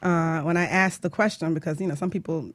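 A woman's voice: low voice, fairly even intonation, a little fast, no echo. Woman radio host n coach, chatty and teasing, dry studio sound. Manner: playful, teasing, informal. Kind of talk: casual radio talk show chat.

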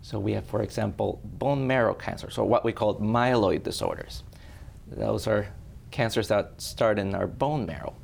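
A low, deeply gravelly male voice, a man from Ecuador who works as a hematologist. He explains blood disorders patiently and in a friendly way, leaning on examples. Faint noise sits behind him. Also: moderate pace. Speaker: hematologist guest. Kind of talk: explanatory interview answers.

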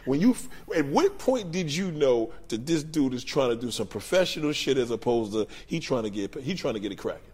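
A man with a New York accent hosts a relaxed chat, teasing his guest in a slangy way. His pitch moves a lot as he talks. Faint noise sits behind him. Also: a little fast, few pauses. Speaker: host. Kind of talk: casual interview chat.

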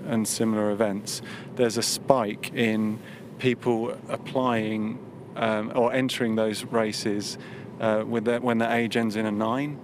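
A man's voice: lowish voice, level tone, measured pace. Man in his late thirties chatting in a car. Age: 30s. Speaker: podcast host, teacher. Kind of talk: casual chat. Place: inside a car. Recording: noisy car interior.

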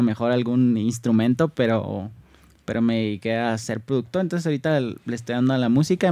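Relaxed Mexican man, modest guest. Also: mid-range voice, no room sound. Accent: Mexican, northern. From Tijuana, Mexico. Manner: relaxed, modest, laughs often, many fillers. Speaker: guest, music producer. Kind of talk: casual interview.

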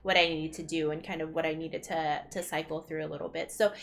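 A young woman hosting a relaxed podcast with friends. She rambles thoughtfully through her thoughts and breaks into laughter often. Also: sits low, fast, creaky. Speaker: podcast host. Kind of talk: casual chat among friends.

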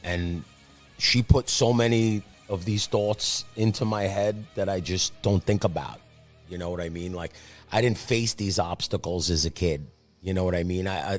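A man raised speaking Italian, chatting casually in a loose, profane, rambling way. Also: on the low side. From Brazil. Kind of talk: casual chat.

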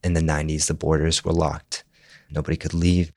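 A man's voice: very deep voice, level tone, fairly quick, mellow, dry recording, clean studio sound. A young man born in Jordan who grew up speaking Arabic, a Spanish teacher answering interview questions thoughtfully and restarting his words often.

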